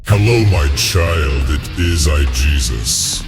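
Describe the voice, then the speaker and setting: Guy doing solemn disapproving jesus, low n slow, music playing. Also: expressive, creaky, exaggerated voice. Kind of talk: comic fandub acting.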